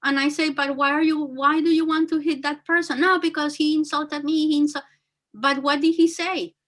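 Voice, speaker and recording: A woman with a very high, bright and forceful voice talks earnestly, her full of fillers and restarts. She speaks as a teacher and guest, giving motivational advice. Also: steady tone, normal speed. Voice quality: very high-pitched voice. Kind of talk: motivational talk.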